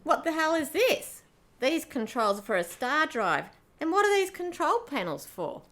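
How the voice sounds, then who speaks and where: A woman acts a roguish space pirate queen from a radio play. She is brash and brassy, speaks casually in a fairly high voice and swears freely. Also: sing-song, moderate pace, a bit bright. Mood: surprised. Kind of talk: amateur audio drama acting.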